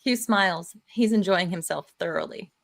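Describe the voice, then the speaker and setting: A woman's voice: fairly low pitch, moderate pace, vocal fry. A woman hosting a live script read, narrating the scenes in between casual chat.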